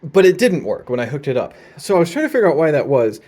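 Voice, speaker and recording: A man's voice: on the high side, very animated, fast, a little mellow. A man hosting a casual, unscripted gear demonstration at home, laid-back and playful with dry humour. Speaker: video host demonstrating vintage audio gear. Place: indoors in a room at home.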